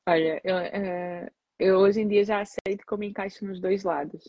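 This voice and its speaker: Husky Portuguese woman, flat steady voice. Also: medium pitch, moderate pace, no echo, highs cut off. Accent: European Portuguese. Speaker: guest psychologist. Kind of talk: live interview guest.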